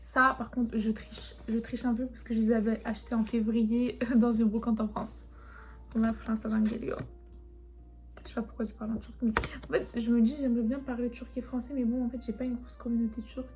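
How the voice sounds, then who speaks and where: A young woman whose first language is Turkish chats casually, rambling softly and often dropping into a half-whisper. Her voice sits a little high but stays flat and mellow. Faint music plays behind her. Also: brisk. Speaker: vlogger. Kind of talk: casual chat showing souvenirs.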